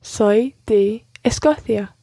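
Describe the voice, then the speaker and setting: A woman's voice: mid-range voice, dark tone, dry recording. A woman acting as the learner in a podcast lesson, carefully repeating each phrase after her teacher. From Scotland. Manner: careful, measured repetition.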